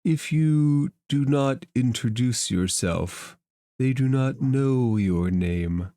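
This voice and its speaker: A man voicing a courteous merchant creature in a tabletop game, speaking in a measured, formal, deliberate way. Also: mid-range voice, animated, dark tone, no room sound, studio quality. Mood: instructive. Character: slow, courteous merkin merchant creature giving warnings.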